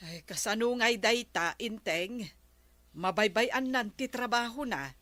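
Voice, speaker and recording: Sharp, scolding Ilocano village wife. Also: female voice, on the high side, unhurried, no room sound. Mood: disapproving. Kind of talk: radio comedy drama acting.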